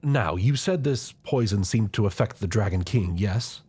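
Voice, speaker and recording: A man acting a grave, husky royal chancellor. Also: fairly low pitch, expressive, dark tone. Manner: formal, deferential, measured courtly speech. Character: loyal, scheming older male royal chancellor, formal and grave. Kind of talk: scripted fantasy audio roleplay acting.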